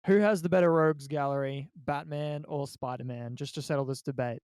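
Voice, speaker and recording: A man with a crisp, clear voice set a little high chats casually as a podcast regular. The sound is dry and free of room echo. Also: steady tone, brisk, a little mellow. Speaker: podcast regular introducing a topic. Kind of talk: casual chat.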